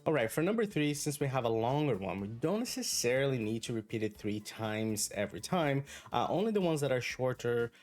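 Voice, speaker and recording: Man, native Brazilian Portuguese speaker, English teacher, mid-pitched voice, faint music behind. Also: sing-song, darkish tone. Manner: clear, deliberate, encouraging. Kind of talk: online pronunciation lesson.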